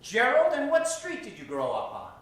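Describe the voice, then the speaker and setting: A theatrical man hosts an improv show from the stage, projecting to the crowd. His voice is bright and animated, heard at a distance in a very echoey theater. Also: very high-pitched, moderate pace. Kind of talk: improv audience warm-up, gathering suggestions.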